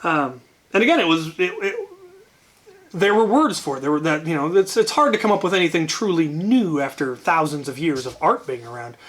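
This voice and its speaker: A man's voice: a little high, lively intonation, a little fast, fairly bright tone. A man telling an anecdote casually as a channel host, wryly, often repeating words as he gathers his thoughts.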